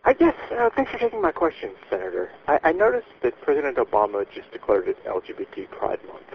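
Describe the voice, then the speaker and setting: Male caller, fast and animated, polite but tentative, muffled phone audio with faint noise. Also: mid-range voice, vocal fry. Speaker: caller asking a question. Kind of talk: polite spoken question on a call. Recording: noisy, muffled phone call.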